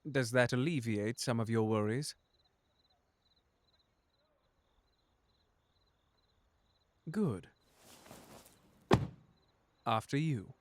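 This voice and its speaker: A man's voice: medium pitch, takes it slowly, heavy background noise, close to an everyday voice. A man playing a suave, unflappable butler in an ASMR audio drama, speaking formally with dry courtesy and a protective streak. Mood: reassuring. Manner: formal, polite, dryly sardonic. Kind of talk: ASMR audio drama roleplay addressed to the listener.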